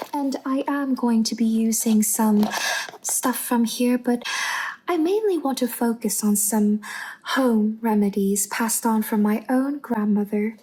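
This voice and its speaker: A woman playing a sweet, devout angel rescuer in an ASMR roleplay, with a gentle, soothing and primly pious manner. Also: fairly high pitch, background noise. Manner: gentle, soothing, prim and pious, 1950s styled. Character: sweet, devout female angel rescuer tending a bunker survivor.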